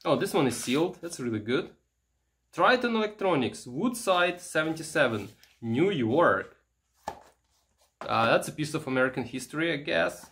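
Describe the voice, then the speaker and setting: A man giving casual, unscripted show-and-tell commentary, halting and musing aloud as he handles things. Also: fairly high pitch, expressive, fairly bright tone. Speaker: vintage electronics vlogger.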